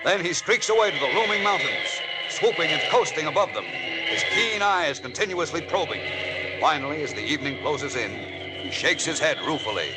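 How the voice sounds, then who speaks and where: An actor as the narrator of a 1940s radio adventure serial, suspenseful and emphatic. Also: male voice, fairly high pitch, expressive, normal speed, close to an everyday voice, poor audio. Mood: dramatic. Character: dramatic male adventure serial announcer. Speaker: announcer and narrator. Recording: old radio recording, loud music underneath.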